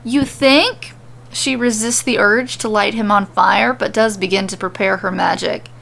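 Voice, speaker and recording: A woman's voice: medium pitch, steady tone, moderate pace, vocal fry, a bit bright. A woman narrating scripted comedic fan fiction as a storyteller.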